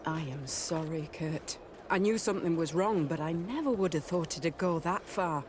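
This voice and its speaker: An actor playing a principled, grave military officer in a video game. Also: male voice, a little high, animated, moderate pace, natural voice. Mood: concerned. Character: loyal, principled Guard officer. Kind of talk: dramatic video game dialogue. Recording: clear outdoor ambient noise behind.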